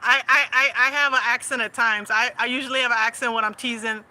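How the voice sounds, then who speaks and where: Bright-voiced laughing Southern woman. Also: fairly high pitch, fast, a little hiss or hum, rough recording. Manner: laughs often, animated and teasing. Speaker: host. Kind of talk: casual livestream chat.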